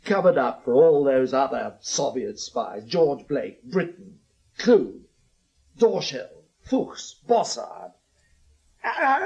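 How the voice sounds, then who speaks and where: An actor playing a weary, mocking British double agent in a radio drama, with sardonic, drawn-out emphasis. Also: male voice, fairly high pitch, a little mellow. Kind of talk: naturalistic radio drama acting. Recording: dull radio drama recording.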